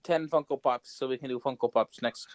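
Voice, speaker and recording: A man's voice: mid-range voice, a little fast, a little mellow, a little hiss or hum. A man co-hosting a casual podcast, bantering in a teasing, sarcastic way. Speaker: podcast co-host.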